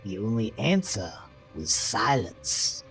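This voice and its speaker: A man narrating a scripted horror story in a dramatic, deliberate, suspenseful manner. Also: fairly low pitch, very animated, fairly bright tone, breathy edge. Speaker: audiobook-style narrator. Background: music under the voice.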